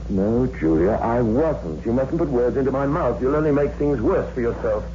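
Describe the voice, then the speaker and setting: A man's voice: mid-range voice, lively intonation, normal speed, dark tone, a little hiss or hum, close to an everyday voice. An actor playing an anxious, secretive man who is controlling yet placating, in a 1960s radio drama. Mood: evasive, pressing. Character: anxious, secretive man guarding his disturbed female companion. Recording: dull old radio recording with music.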